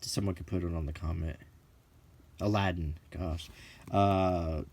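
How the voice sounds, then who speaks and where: A middle-aged man giving casual, unscripted commentary as he leafs through a book, rambling and halting, musing aloud in short phrases. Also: sits low, steady tone, a little mellow. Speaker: host of a hobby channel.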